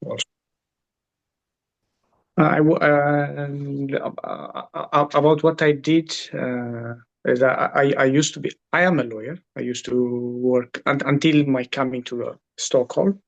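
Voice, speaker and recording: A man's voice: mid-range voice, fairly even intonation, a little slow, creaky, dull audio. A man in his forties from Ethiopia, speaking hesitantly as a lawyer taking part in an online workshop. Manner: hesitant, with many uhs and repeats. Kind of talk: casual chat.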